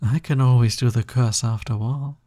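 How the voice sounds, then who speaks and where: A man playing a teasing, smug, touch-starved naga roommate in an intimate audio roleplay, coaxing in a soft, drawling, breathy voice. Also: mid-range voice, steady tone, normal speed, no room sound, close to an everyday voice. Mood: bargaining, smug. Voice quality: breathy, soft, slightly gravelly.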